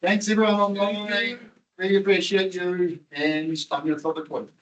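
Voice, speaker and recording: A man's voice: sits high, flat delivery, normal speed, fairly bright tone, muffled recording. A man presenting at a tech meetup, chatting casually with the audience, quizzing them and laughing often.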